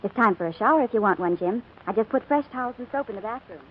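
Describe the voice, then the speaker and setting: An actress playing an attentive, cheerful housewife in a 1940s radio advertisement. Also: female voice, mid-range voice, expressive, very fast, breathy edge. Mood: soothing. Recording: tinny 1940s radio recording.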